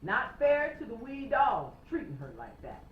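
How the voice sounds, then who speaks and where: A woman voicing a stern but caring old Scottish sheepdog trainer who gives measured, emphatic instruction. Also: on the high side, big pitch swings, voice pushed up. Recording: roomy, slightly noisy, dull home recording.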